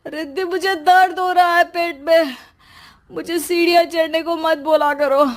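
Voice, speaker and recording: A woman's voice: extremely high pitch, steady tone, unhurried. A woman voicing a fearful, put-upon pregnant husband in a comic cartoon story, plaintive and full of complaint. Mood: panicked.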